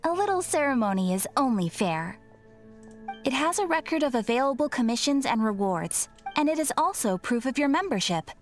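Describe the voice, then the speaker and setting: An actress playing a polite young guild receptionist in a video game, explaining things in a courteous, formal and measured manner. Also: female voice, sits high, very animated. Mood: formal, welcoming. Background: game music.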